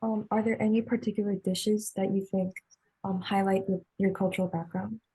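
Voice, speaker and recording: A woman's voice: mid-range voice, little pitch movement, moderate pace, dark tone, breathy edge, rough recording. A woman interviewing a guest over a video call, asking questions politely and hesitantly. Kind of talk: oral history interview.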